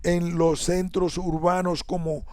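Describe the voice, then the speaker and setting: A man reading an academic essay aloud in a measured, even delivery, sometimes correcting himself. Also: high-pitched, no room sound. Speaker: essay reader.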